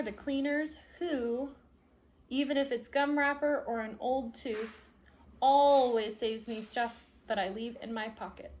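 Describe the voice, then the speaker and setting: A woman reading a children's picture book aloud expressively, with soft explanatory asides for young listeners. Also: high voice, slight background noise. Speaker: read-aloud storyteller.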